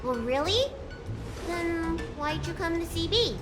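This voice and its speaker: A woman voicing a cheeky, curious young girl dragon healer in a video game cutscene, with a bright girlish voice. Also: very high-pitched, expressive, measured pace. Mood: playful. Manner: playful and teasing. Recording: game audio with loud music.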